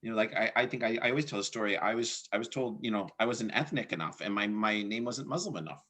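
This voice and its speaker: A man's voice: lowish voice, fast talker, a bit bright, slightly rough. A man hosting a political discussion over a video call, talking casually and often repeating words as he thinks. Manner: conversational, repeats words, laughs easily. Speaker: host.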